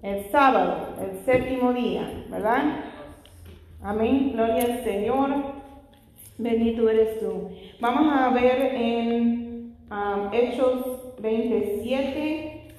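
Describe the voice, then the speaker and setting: A woman's voice: a little high, slow, a little mellow. A woman whose first language is not Spanish, leading a church Bible study, asking the congregation questions and breaking in often with praise. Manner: frequent "Gloria al Señor" interjections, asks the congregation questions. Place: church hall. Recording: echoey hall with long reverb.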